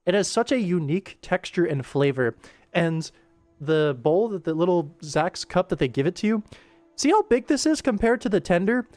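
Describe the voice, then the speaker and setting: A man's voice: high voice, big pitch swings, moderate pace, a little mellow, no room sound, faint music behind. A young American man talking casually and enthusiastically on a livestream, emphatic and given to going off on tangents. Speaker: livestream host.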